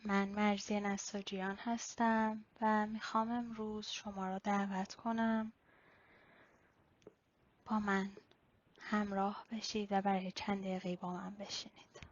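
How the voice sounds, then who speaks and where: A woman leading a guided body-scan meditation in a slow, instructional way with pauses. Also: mid-range voice, level tone. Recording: slightly dull, lo-fi recording.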